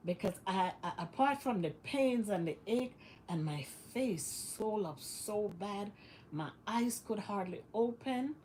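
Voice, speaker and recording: A woman's voice: medium pitch, lively intonation, measured pace, warm tone, a little hiss or hum, rough recording. A West Indian woman talking casually to her vlog viewers, sounding congested and nasal from illness and repeating phrases for emphasis. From West Indies. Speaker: vlogger. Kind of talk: casual monologue to camera.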